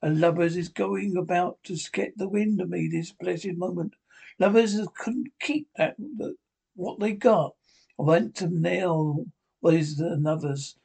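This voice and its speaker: A man voicing a dying, rum-craving old sea captain in a feeble, husky voice, pleading and cursing. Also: sits high, darkish tone, heavily acted, poor audio. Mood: agitated. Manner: pleading, wheedling, cursing, slow and drawn out. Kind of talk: audiobook character voice.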